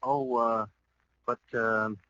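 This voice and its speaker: An actor playing an eager, bumbling young boyfriend with an American accent in 1940s radio comedy, hesitant and earnest. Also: male voice, medium pitch, little pitch movement, takes it slowly, pushed and bright, no room sound. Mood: nervous, hesitant. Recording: dull, hissy old radio recording.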